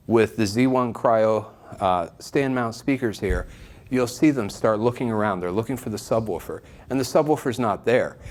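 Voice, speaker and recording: A man speaking as an enthusiastic shop presenter, giving an informal product review straight to viewers. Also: lowish voice. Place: shop listening room, indoors.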